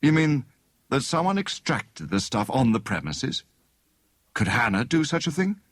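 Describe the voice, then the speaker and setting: A man voicing a genial, encouraging young gentleman amateur detective in an audiobook story, speaking in a breezy conversational way. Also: mid-range voice, big pitch swings, measured pace, dry recording, studio quality. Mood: keen.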